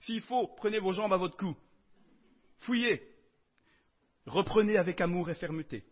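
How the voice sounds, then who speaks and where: A man preaching a sermon in French, emphatic, swinging between loud declarations and soft asides. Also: high-pitched, unhurried, muffled recording.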